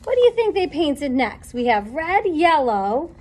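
A woman's voice: high-pitched, sing-song, a little slow. A woman in her thirties reading a picture book aloud to young children in a sing-song, encouraging, question-asking way, recorded in a small room. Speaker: children's story time reader. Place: small indoor classroom or playroom.

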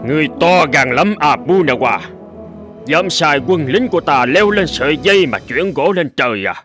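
A man voicing an imperious, quick-tempered king in a folk-tale cartoon, with a haughty, commanding manner. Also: a little high, animated, a bit bright, soft background music. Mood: outraged. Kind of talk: Vietnamese cartoon dubbing.

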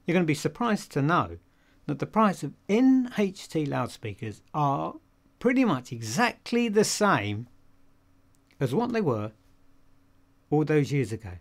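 A man's voice: on the high side, very animated, unhurried, gravelly, long pauses. An older man with a British accent giving a casual product review to camera in a conversational, emphatic way, posing questions and answering them himself. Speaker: audio dealer and video reviewer. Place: small home office room, indoors.

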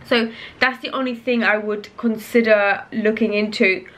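A woman's voice: medium pitch, fairly even intonation, unhurried, a bit bright. A young woman in her twenties chatting casually to the camera at home, giggling and rambling with lots of fillers. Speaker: vlogger. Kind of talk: casual vlog chat to camera. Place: home kitchen and living room. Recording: roomy indoor echo.

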